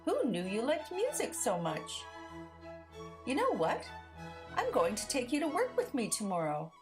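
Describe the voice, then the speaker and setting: A friendly, affectionate man played by a woman storyteller chats to his dog in a children's picture book. The delivery is high and lilting, coaxing and gently explaining, with music behind. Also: huge pitch swings, unhurried. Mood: reassuring, pleased.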